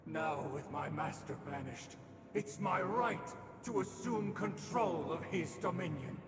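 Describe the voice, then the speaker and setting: A man's voice: a little high, measured pace, music in the background, natural voice. A man playing a solemn, theatrical puppet servant who declaims like a preacher in a video game. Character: grandiose male puppet servant preaching righteousness to his fellows. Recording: echoey hall reverb with clear background noise.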